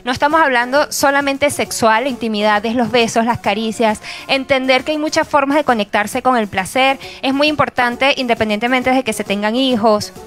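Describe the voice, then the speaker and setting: A Venezuelan woman in her thirties speaks into a handheld mic on a bright TV studio set. Her voice sits fairly high, and she explains things in a measured, advice-giving way. A faint music bed runs beneath her. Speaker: sexologist guest. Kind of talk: TV talk show interview.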